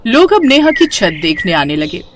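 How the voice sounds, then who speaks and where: A woman's voice: medium pitch, big pitch swings, moderate pace, a little hiss or hum. A woman narrating a scripted moral story as the storyteller.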